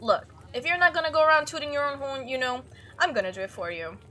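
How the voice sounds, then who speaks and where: A woman's voice: sits high, quick pace, bright, forceful tone, slight background noise. A woman voicing a kindly, slightly awkward diner server in a visual novel, friendly and a little hesitant. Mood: teasing. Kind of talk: visual novel character read aloud.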